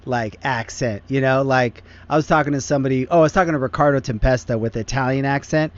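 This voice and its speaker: A man hosts a casual podcast and talks loosely, full of "like" and teasing asides. His pitch sits in an ordinary middle range. The audio comes through dull and lo-fi. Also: fast. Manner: loose, joking, lots of "like". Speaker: podcast host.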